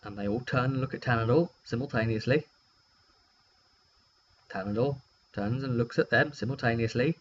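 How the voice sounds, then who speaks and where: A man's voice: medium pitch, normal speed, pauses often. A man casually telling the story of a roleplaying game session in a rambling, wry way, acting out short quotes as he goes. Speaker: game master recapping a tabletop session. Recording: dull lo-fi mic with hum.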